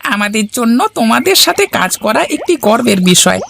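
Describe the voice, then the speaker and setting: A woman's voice: a little high, very animated, normal speed, vocal fry, fairly bright tone, slight background noise, full character voice. A woman playing an earnest, supportive character in a village cartoon, speaking formally. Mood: proud. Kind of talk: scripted cartoon dialogue.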